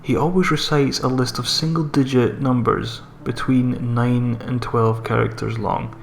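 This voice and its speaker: A man with a mid-pitched, slightly gravelly voice narrates a scripted horror tale. His reading is measured and deliberate. Music plays faintly behind him. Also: steady tone. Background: background music.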